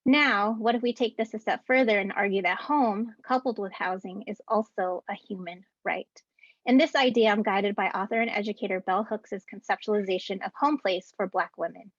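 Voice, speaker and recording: A woman in her thirties, an educator, giving a prepared ceremony speech from home over a video call in an earnest, deliberate way, reading out rhythmic lists. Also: mid-range voice, dry recording. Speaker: keynote speaker and educator. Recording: dull, dry video-call audio.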